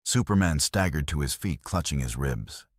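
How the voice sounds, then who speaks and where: A man's voice: rumbling low, lively intonation, moderate pace, no room sound, clean studio sound. A man giving a solemn, scripted dramatic narration of a fiction story. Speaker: audio novel narrator.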